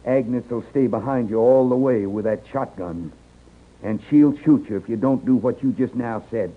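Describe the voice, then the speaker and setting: Man acting a cornered, rough-talking western outlaw, muffled old radio. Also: medium pitch, normal speed, lightly acted. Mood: wary. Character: desperate cornered western man accused of horse stealing. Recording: noisy muffled 1950s radio recording.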